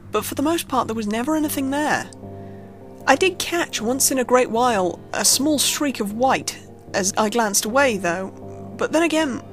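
A woman reading a scripted first-person horror story in a measured, suspenseful storytelling manner. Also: on the high side. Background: background music under the narration.

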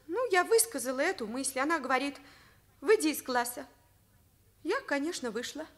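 Teenage schoolgirl, high voice, cheeky, candid, argumentative, Soviet radio play. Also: expressive, moderate pace. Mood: protesting. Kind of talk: Soviet radio drama acting.

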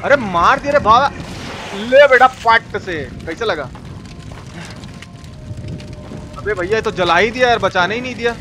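A man playing a folksy, excitable Indian uncle with a Bihari Hindi accent, commenting on a game in crude, exclaiming slang for a live stream. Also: high voice, normal speed, slight background noise. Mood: excited, regretful. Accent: eastern Hindi, Bihari. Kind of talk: live horror game commentary with chat replies.

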